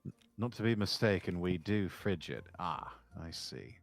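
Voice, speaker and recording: A man guesting on a game stream, calm and dry-humored in casual chat. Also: low voice, a little slow, soft background music.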